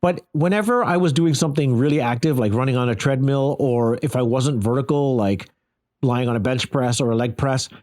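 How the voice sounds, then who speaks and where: A man's voice: medium pitch, fairly quick, a little mellow. A man giving a casual, conversational first-person gear review, repeating phrases for emphasis. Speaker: product reviewer.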